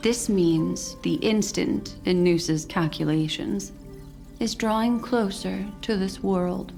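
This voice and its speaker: A composed female envoy from a video game speaks over soft background music. Her medium-pitched voice is poised and articulate, with a courteous, formal manner. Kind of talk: video game dialogue acting.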